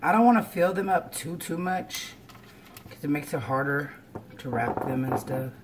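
A woman explains each step informally, as in a casual cooking vlog. She is recorded at home in a kitchen, with clear background noise of frying and clattering dishes. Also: low voice, animated, moderate pace. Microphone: camera on counter or at arm's length.

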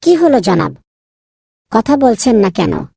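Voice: mid-range voice, big pitch swings, slow pace, warm tone, slightly rough, no echo, clean studio sound, exaggerated voice. A performer voicing a kind, bold young boy in a children's cartoon, insistent and polite to elders. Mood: curious, bold. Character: kind, bold young boy Nilu, bossy with his friend. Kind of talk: children's moral cartoon dubbing.

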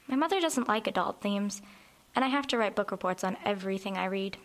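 A woman's voice: medium pitch, animated, brisk, natural voice. A woman playing a timid, sheltered ten-year-old girl in a radio drama, hesitant and earnest. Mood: guarded. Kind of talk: radio horror drama acting.

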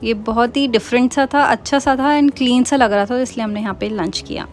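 A woman's voice: on the high side, a little fast. A young woman from Uttarakhand, India, chatting casually as she narrates her family vlog. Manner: chatty, mixes Hindi and English. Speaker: vlogger.

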